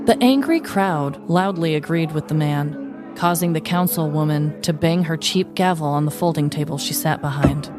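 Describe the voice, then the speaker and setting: A woman's voice: sits low, moderate pace, loud music behind the voice. A woman hosting a podcast, reading a scripted comic true-crime story in a wry, playful way. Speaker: podcast host.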